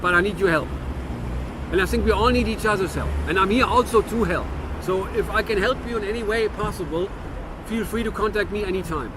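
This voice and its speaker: A man's voice: high voice, brisk, background noise. A man in his fifties from Germany with a German-American accent, musing earnestly to camera in a reflective monologue with frequent restarts. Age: 50s. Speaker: vlogger.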